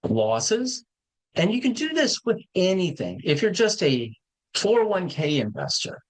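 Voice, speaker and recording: Lively-voiced man in his fifties. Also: a little high, poor audio. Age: 50s. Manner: conversational, repeats and corrects himself, plain-spoken. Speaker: market show host. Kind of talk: casual educational talk on investing.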